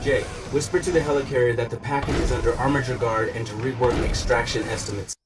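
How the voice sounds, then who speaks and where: A man voicing a cocky, quick-witted male armored hero in a video game, breezy and wisecracking. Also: mid-range voice, steady tone, recorded from a distance, music in the background, natural voice. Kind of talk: video game cutscene voice acting.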